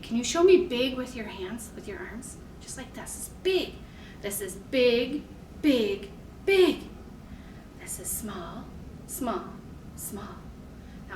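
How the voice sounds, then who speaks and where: A woman's voice: fairly high pitch, fairly bright tone, far from the mic. An American woman leading a storytime for toddlers as a children's librarian, talking simply to small children with questions and encouragement. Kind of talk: picture-book reading and sing-along for toddlers. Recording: echoey room with faint background noise.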